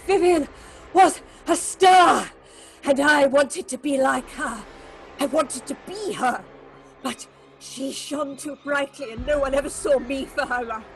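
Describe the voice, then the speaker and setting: High-voiced, unhinged actress confessing murder. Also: female voice, unhurried, faint music behind, low-quality recording. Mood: bitter, anguished. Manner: melodramatic, ranting, unhinged. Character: guilt-crazed, jealous actress confessing murder. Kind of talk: theatrical horror audio drama.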